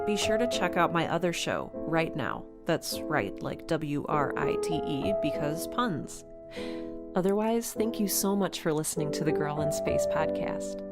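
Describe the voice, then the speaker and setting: A woman with a wry, sarcastic way of talking, hosting and voicing her own audio drama. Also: on the low side. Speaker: podcast creator and lead voice actor. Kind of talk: audio drama acting and sponsor read.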